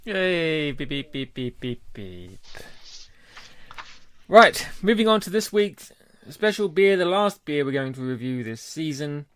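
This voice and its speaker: Guy on a beer podcast, jokey and upbeat, a bit higher voice, dry sound. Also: very animated, measured pace, a bit bright, clear, resonant tone, no echo. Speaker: podcast co-host. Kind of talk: casual chat.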